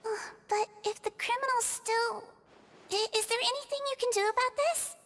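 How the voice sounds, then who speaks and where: A woman voicing a small, sweet, caring creature who works as head nurse in an anime video game, gentle and solicitous. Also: very high voice, fairly even intonation, moderate pace, very noisy. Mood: concerned.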